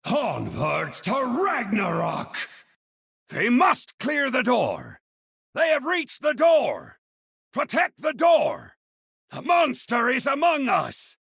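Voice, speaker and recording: A boisterous Viking warrior from a video game, fearless and hungry for battle. The voice is raspy and forceful, pushed very high with loud bellowing, and recorded bone dry. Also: male voice, wildly swooping pitch, takes it slowly, dry recording, full character voice. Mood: battle shouting. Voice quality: raspy, gravelly. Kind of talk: video game character voice lines.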